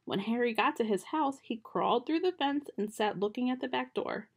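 A young woman reading a children's picture book aloud at home in a gentle storytime manner, recorded on a close webcam in a small bedroom. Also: fairly high pitch, animated, moderate pace, gravelly, husky.

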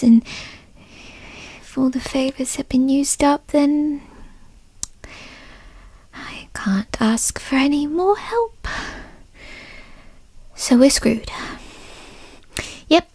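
A woman voicing a bratty, insecure centuries-old kitsune fox spirit in a huffy, breathy ASMR whisper, close to the mic. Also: sits high, mellow, no echo, heavily acted. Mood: annoyed. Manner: huffy, sighing, breathy whispering, drawn-out words. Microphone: close microphone in a dry space.

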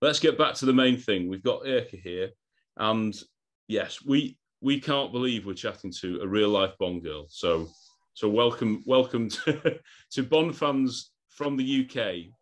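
A man's voice: medium pitch, measured pace. Eager man hosting a podcast. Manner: eager, laughs between lines, repeats words. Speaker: podcast host. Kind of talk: podcast interview. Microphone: video call.

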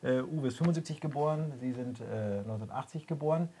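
A man hosting a talk show, halting and full of filler sounds. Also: fairly high pitch, warm tone, slight background noise. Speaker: host. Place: small cluttered studio room, indoors.

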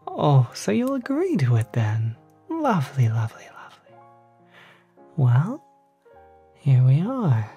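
Guy doing a polite demon hotel clerk for asmr, super husky n breathy, slow and coaxing, kinda sinister. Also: medium pitch, exaggerated voice. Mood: pleased, courteous. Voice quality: soft, breathy, half-whispered. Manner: polite, hesitant, gently persuasive. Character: courteous demon concierge, smooth-talking, quietly sinister. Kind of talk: ASMR roleplay.

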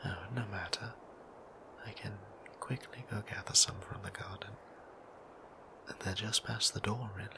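A man voicing a gentle, easily flustered male vampire priest who talks in a soft whisper and stammers when nervous, for an ASMR audio roleplay. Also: fairly low pitch, steady tone, moderate pace, long pauses, background noise, close to an everyday voice.

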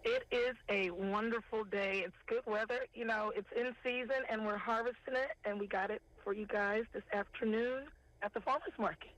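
A woman, a farmers market director calling in to a morning news show and listing products in a steady, unhurried way. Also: medium pitch. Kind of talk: phone interview, listing products. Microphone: telephone. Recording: tinny phone line.

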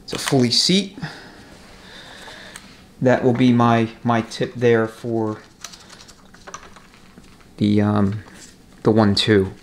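A man explaining a mechanical job step by step in a casual, practical way while he works. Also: mid-range voice. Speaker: transmission rebuilder giving a tutorial. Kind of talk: casual hands-on instructional commentary. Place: indoor repair workshop. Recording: echoey workshop, noticeable noise, tool clicks.

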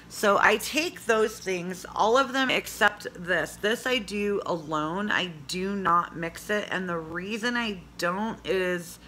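A hoarse woman in her forties chatting casually in a home vlog, reading product labels aloud and rambling with fillers. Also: lowish voice, sharp tone, a little hiss or hum. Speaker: vlogger. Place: small indoor room at home. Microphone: phone camera at arm's length.

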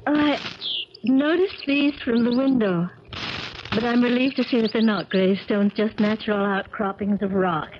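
A woman's voice: fairly high pitch, fairly even intonation, moderate pace, close to an everyday voice. Actress as a genteel young woman, dull old radio sound. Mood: charmed, curious. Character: young woman visiting her eccentric uncle, uneasy, genteel. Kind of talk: 1970s radio drama acting, first-person narration and dialogue. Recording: dull crackly 1970s radio recording, music behind.

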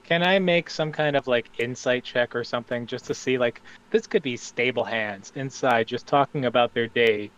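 A man playing a tabletop game, relaxed, describing his character's actions. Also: mid-range voice, moderate pace, hardly any pauses, smooth, dull audio. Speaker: player.

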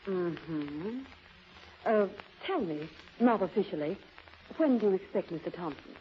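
A sly, swooping worldly flirt. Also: female voice, lowish voice, lively intonation, unhurried. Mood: brisk, haughty. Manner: condescending, sultry, theatrical. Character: sly, worldly, flirtatious female former secretary. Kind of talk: 1930s radio drama acting. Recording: muffled, noisy old 1930s radio recording.

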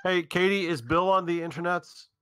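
A man's voice: high-pitched, moderate pace, heavy background noise. A man chatting casually and jokingly as he hosts a group game session.

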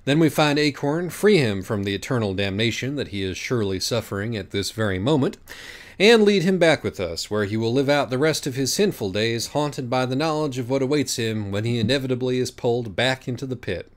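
A man voicing a brash, foul-mouthed young girl in an audiobook story, bossy, forceful and sarcastic. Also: medium pitch, lively intonation, fairly quick, voice pushed up, natural voice. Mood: grimly determined.

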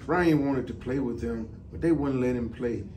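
A man's voice: mid-range voice, moderate pace, warm tone. Middle-aged man reading aloud, slightly distant. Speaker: deputy fire chief. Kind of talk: children's storybook reading. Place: indoor office, seated. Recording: distant mic, some background noise.

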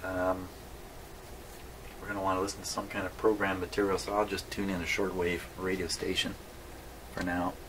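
A man's voice: low voice, monotone, moderate pace, long pauses. A man giving a casual hands-on demonstration, thinking aloud and muttering to himself as he works. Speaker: host of a radio repair channel. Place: small indoor radio workshop. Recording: distant mic, clear background noise.

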